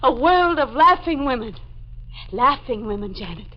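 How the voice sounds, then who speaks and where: Casting: frail, heartbroken woman, high voice, theatrical, vintage radio drama with dulled sound. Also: very animated, moderate pace. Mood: bitter, wistful. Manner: theatrical, wistful. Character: Melanie, fragile heartbroken woman with weak heart. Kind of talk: 1940s radio mystery acting. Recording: dull 1940s radio recording.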